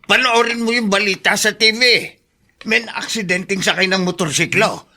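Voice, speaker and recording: Excitable, high, piercing voice. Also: male voice, moderate pace, heavily acted. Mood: urgent. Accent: Filipino. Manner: urgent calling out. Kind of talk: Filipino radio drama acting.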